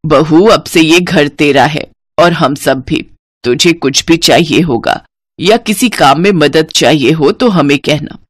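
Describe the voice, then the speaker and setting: A woman's voice: low voice, measured pace, dry recording, clean studio sound, voice pushed down. A woman voicing a kindly older mother-in-law in a cartoon story, with affectionate, motherly talk. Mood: doting. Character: kindly, doting older mother-in-law welcoming her family.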